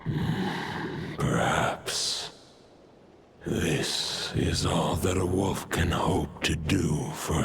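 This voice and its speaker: An actor playing an ancient wolf god saying a calm farewell in a slow, grave, half-whispered voice. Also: male voice, low voice, creaky, full character voice. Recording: game audio with noisy ambience.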